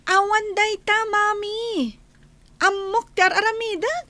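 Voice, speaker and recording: A woman with an Ilocano accent acting a lively, defiant, lovestruck teenage schoolgirl in a radio comedy drama. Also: very high voice, measured pace, no echo, full character voice.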